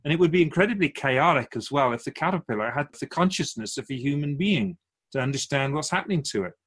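An actor playing a wise, otherworldly male keeper of souls in a radio drama interview, gently teaching like an outsider to humankind, with a husky voice. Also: on the high side, lively intonation, fast talker. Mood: reassuring, teaching.

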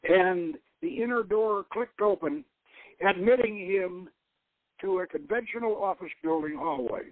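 A man with a fairly high voice reads an adventure novel dramatically and at a measured gait, occasionally tripping on a word. The sound is dry and thin, squeezed into a tinny radio-like band. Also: no echo. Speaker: show host reading his own novel.

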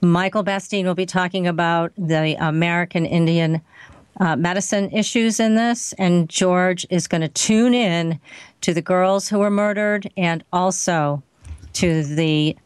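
A woman's voice: lowish voice, a little slow, clean tone, no echo. A woman hosting a paranormal radio talk show, eagerly announcing upcoming guests and chatting with her co-host.

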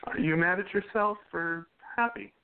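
A man's voice: high voice, normal speed, clean tone. A man playing an affectionate, reassuring young newspaperman in an amateur radio play. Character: young male newspaperman, loving and devoted. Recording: tinny phone-like sound.

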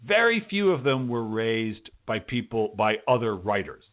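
An American man hosts a radio show with a lively, swinging delivery. He talks informally, dropping in emphatic asides and echoing his own phrases. The sound is narrow and tinny, like a phone line. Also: lowish voice, moderate pace. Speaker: radio show host and writing teacher. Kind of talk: chatty show introduction and interview.

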